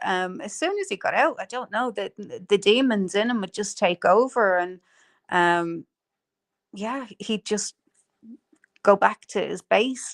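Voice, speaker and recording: A woman hosts a relaxed live stream, chatting to her audience. She rambles easily, full of ums, restarts and quick laughs, at a middling pitch. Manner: chatty, lots of fillers and laughs. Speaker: livestream host. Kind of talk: casual chat on a live stream.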